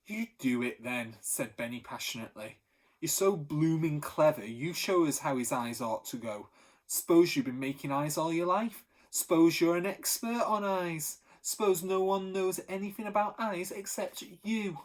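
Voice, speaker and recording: A man's voice: a little high, a little slow, voice pushed up, natural voice. A man doing a sarcastic street kid Benny. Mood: sarcastic, exasperated. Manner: knowing, exasperated sarcasm. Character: boy, scrappy, sharp-tongued London street kid.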